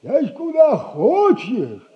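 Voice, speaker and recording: An actor playing a crafty old retired soldier in a 1960s stage comedy, folksy, whining and wheedling. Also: male voice, extremely high pitch, wildly swooping pitch, unhurried, full character voice. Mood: haggling, stubborn. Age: older. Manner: folksy, wheedling, theatrical delivery. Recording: dull 1960s audio play recording.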